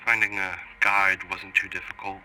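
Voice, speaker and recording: A man playing an earnest witness, slowly and haltingly recounting his story in an interview for a mock documentary. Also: low-pitched, level tone, sharp tone, soft background music, poor audio. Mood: sober, reflective. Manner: halting, deliberate, pausing mid-sentence.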